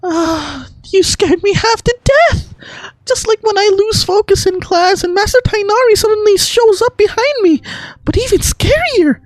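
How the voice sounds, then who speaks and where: Squeaky, jumpy young girl. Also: normal speed, dry recording. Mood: startled, relieved. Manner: squeaky, flustered, excitable. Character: nervous, jumpy young game girl, easily startled.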